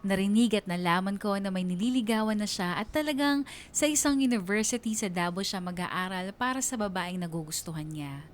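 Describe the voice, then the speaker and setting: A woman's voice: mid-range voice, a little fast, faint music behind, lightly acted. A woman playing a young woman who recounts her past in a radio drama, reflective, wistful and confiding. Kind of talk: first-person radio drama narration.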